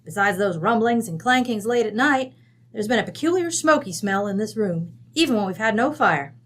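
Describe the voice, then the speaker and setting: Woman playing a brassy, folksy American mother, animated. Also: mid-range voice, normal speed, lightly acted. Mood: matter-of-fact. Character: bossy, folksy American mother from Wyoming, ranch-bred and blunt. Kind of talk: old-time radio drama acting.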